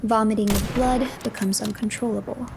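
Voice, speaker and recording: A woman narrating a game story as a streamer, reading files aloud in a measured, formal way. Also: mid-range voice, fairly even intonation, vocal fry, background noise.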